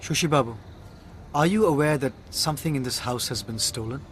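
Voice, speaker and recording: An actor playing a shrewd private detective in a radio drama, composed, dryly polite and measured, with an Indian accent. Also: male voice, medium pitch, a bit bright, a little hiss or hum, natural voice. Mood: polite, probing.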